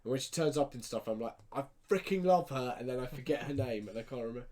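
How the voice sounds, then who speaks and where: A man's voice: mid-range voice, animated, quick pace. Emphatic man, late thirties, chatter behind him. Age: late 30s. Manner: sweary, opinionated, emphatic. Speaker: podcast co-host. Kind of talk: casual chat.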